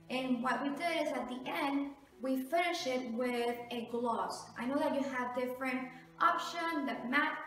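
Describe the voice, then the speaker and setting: A woman's voice: high voice, fairly bright tone. A middle-aged woman giving a casual, chatty home tour to camera as a renovation vlogger. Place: large two-storey house hallway and stair landing. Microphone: camera mic a few metres away. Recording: echoey hallway, distant camera microphone.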